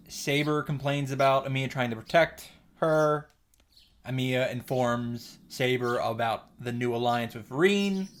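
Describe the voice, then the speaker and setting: A man giving a narrated recap with comic first-person commentary, informal, sweary and mock-exasperated in manner. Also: mid-range voice. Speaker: host of a visual novel reaction and summary show. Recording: faint music behind the voice. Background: faint background music.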